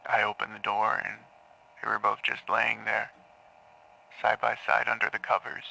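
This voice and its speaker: An actor playing a haunted man in an audio drama, confessing in halting, repetitive phrases to a grim crime. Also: lowish voice, completely flat delivery, vocal fry, pushed and bright, husky, exaggerated voice, rough recording. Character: haunted man confessing to killing his parents in therapy. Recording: taped therapy session sound.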